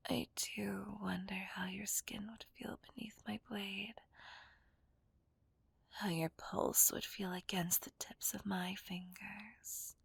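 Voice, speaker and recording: A woman playing a seductive, menacing villain kidnapper in a whispered ASMR roleplay, breathy, teasing and possessive. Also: medium pitch, flat delivery, no room sound, full character voice. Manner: slow, teasing, dominant.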